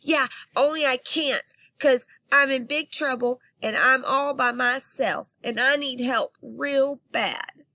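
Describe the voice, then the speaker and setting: A woman voicing a feisty, impatient little girl of about six in a storybook, talking loud, urgent and whiny. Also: high-pitched, steady tone, slow, dry recording, pitched above the performer's own voice, heavily acted. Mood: desperate.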